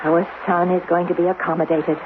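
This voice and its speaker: A woman's voice: lowish voice, little pitch movement, a little fast, phone-quality sound, crowd noise, natural voice. An actress playing a shrewd, probing rebel wife guarding her son, with direct, coaxing delivery in a radio drama. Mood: grimly worried. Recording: noisy, band-limited 1970s radio broadcast.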